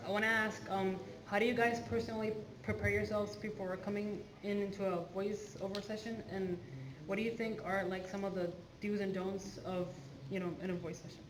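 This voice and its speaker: Soft-spoken man fan asking a question. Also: high-pitched, flat delivery, a little fast. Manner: soft-spoken, polite, hesitant. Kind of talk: audience question. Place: large convention hall. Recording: echoey hall with noisy ambience.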